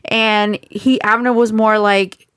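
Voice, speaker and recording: Woman, podcast co-host, stuffy nose, smooth clear voice, dry close mic, chatty and laughing. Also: mid-range voice, flat delivery, a bit bright, dry recording. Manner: laughs often, talks in quick bursts with "like" and "you know". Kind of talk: casual chat.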